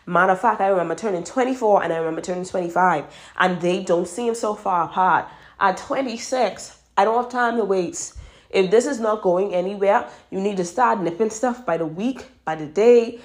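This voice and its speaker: A Bahamian woman in her twenties with a Bahamian accent gives emphatic dating advice straight to her listeners and repeats words for stress. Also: medium pitch. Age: 20s. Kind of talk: casual advice monologue.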